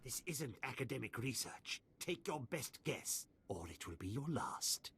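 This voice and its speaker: An actor playing a menacing, imperious military villain in a sci-fi video game, commanding and threatening. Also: male voice, mid-range voice, sing-song, measured pace, a bit bright, a little hiss or hum.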